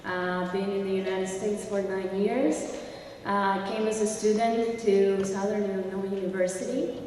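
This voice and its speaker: A woman's voice: mid-range voice, little pitch movement, normal speed, a little mellow, a little hiss or hum. A woman, giving earnest short thanks with frequent fillers. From Colombia. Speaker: new citizen giving a short speech. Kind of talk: short spoken thanks at a ceremony. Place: large courtroom or hall. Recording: echoey hall, heard from far off.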